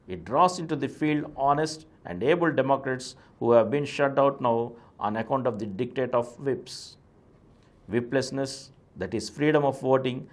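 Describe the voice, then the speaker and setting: A man with an Indian accent reads an essay aloud as a presenter. His voice sits at a middling pitch. He phrases each statement formally and deliberately, leaning firmly on key words. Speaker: reader and presenter. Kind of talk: reading a political essay aloud.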